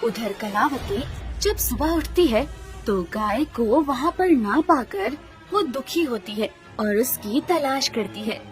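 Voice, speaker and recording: A woman storyteller with a high voice narrates a scripted children's tale. She takes it at a slow pace, with music playing underneath. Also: expressive.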